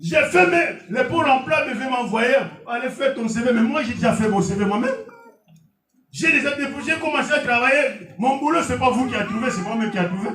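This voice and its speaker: A man whose first language is Portuguese, preaching an impassioned sermon in a church and often shouting. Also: very high voice, lively intonation, a little fast. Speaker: pastor. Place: church hall. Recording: echoey hall, distant mic, faint music.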